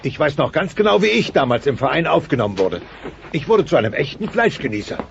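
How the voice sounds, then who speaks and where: Gravelly, blustering dinosaur dad. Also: male voice, a little high, big pitch swings, fast. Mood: pompous, nostalgic. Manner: pompous, blustering, lecturing. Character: pompous, proud, hot-tempered dinosaur father, hardline meat-eater. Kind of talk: comic audio drama dialogue. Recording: dull, noisy old cassette transfer.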